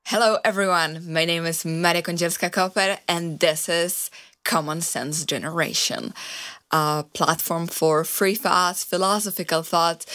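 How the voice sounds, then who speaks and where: Woman, interview host, lowish voice, measured and hesitant. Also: a bit bright. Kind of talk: interview hosting. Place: studio.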